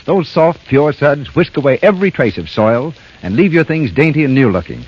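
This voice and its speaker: 1930s radio announcer, American man, animated, slightly husky, coaxing sales delivery, muffled broadcast with music. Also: fairly high pitch, moderate pace.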